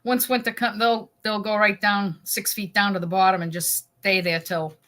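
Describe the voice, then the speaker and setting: A woman's voice: mid-range voice, flat delivery, moderate pace, fairly bright tone. A woman from New England with a New England accent, co-hosting a casual chat, laughing easily and trailing off mid-sentence. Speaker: co-host.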